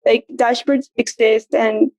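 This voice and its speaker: A woman in her thirties from Venezuela and Colombia, a native Spanish speaker, answers interview questions in a measured, halting way. Her high voice stays in a narrow, even band, and the sound is close and dry. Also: no echo. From Venezuela, raised in Colombia. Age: 30s. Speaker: guest, media strategist. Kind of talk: casual interview chat.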